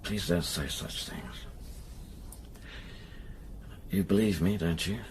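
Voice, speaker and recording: An actor playing a tender, evasive man placating his lover in an intimate audio drama, murmuring deliberately. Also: deep voice, slight background noise. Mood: coaxing, anxious.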